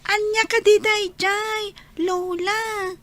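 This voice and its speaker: A slow, bright, very high child's voice, acted by a woman in an Ilocano-accented radio drama. The child is plaintive and keeps questioning the grown-ups. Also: level tone, a little hiss or hum, full character voice. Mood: curious, pleading. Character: young child questioning grandmother about absent father.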